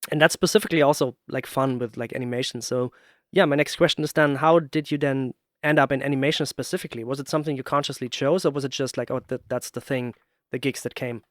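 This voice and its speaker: A man hosting a casual interview, speaking in a halting way as he searches for words. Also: medium pitch, steady tone, no echo, studio quality. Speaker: podcast host, interviewer, works in sound.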